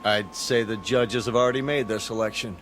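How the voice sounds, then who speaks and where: Actor as a lowish, pedantic space crewman. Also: brisk, a bit bright, a little hiss or hum, natural voice. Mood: matter-of-fact. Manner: dry, precise, clinical. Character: pedantic, coolly analytical male space crewman. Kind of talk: audio drama acting.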